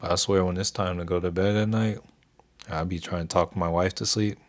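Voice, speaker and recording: A young man hosting a casual stock trading livestream, talking in a soothing, even voice and chatting with viewers in a laid-back way. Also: low-pitched, brisk. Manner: laid-back, keeps delivery even, reads chat aloud.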